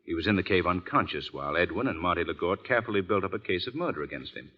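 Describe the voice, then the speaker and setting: Suave amateur detective: lowish voice, very animated intonation, urbane, explanatory, muffled old radio sound. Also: male voice, rapid-fire. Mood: grave, explanatory. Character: suave, assured gentleman amateur sleuth, adult man. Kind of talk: 1940s radio drama acting. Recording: muffled vintage 1940s radio recording.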